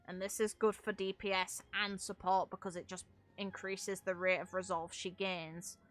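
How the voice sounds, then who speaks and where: Bright-voiced woman giving casual game commentary. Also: mid-range voice, level tone, moderate pace, soft background music. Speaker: video game streamer.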